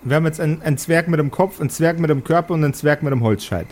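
A man narrating an improvised tabletop game as game master, deliberately and with pauses between phrases. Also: a little high, a little mellow.

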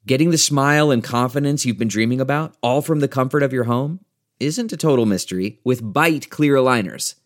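Mid-pitched male announcer for a smooth, upbeat ad read. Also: fairly quick. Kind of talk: scripted ad read.

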